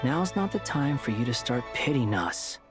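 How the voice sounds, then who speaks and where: Casting: male actor as pragmatic, guarded scholar-traveler for game drama, terse and serious, middling pitch, music underneath. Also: big pitch swings, moderate pace, lightly acted. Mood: grim, blunt. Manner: matter-of-fact, terse.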